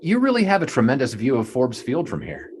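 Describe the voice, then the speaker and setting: An actor playing a 1920s sports writer who narrates in a dry, wry, formal manner. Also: male voice, mid-range voice, sing-song, a little fast, darkish tone, a little hiss or hum, close to an everyday voice. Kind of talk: audio drama narration and acting.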